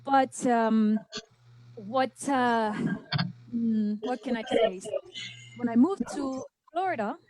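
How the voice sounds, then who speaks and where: A woman's voice: on the high side, takes it slowly, long pauses, darkish tone. A young woman from Saudi Arabia, a dancer and guest, answering questions on a video call, hesitant and full of fillers. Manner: hesitant, many fillers, says she is nervous. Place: indoor home room. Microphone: video call webcam.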